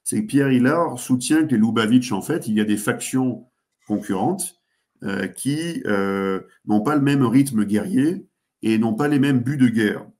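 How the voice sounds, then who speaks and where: A man speaking as a guest commentator, giving geopolitical analysis in a talk show, pausing often with fillers and restarts. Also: medium pitch, a little mellow. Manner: hesitant, many fillers and restarts.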